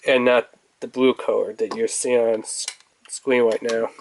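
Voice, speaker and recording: A man's voice: medium pitch, level tone, a little slow, a little hiss or hum. A man giving casual, off-the-cuff commentary as he reviews a toy in an unboxing video. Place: indoors at a table.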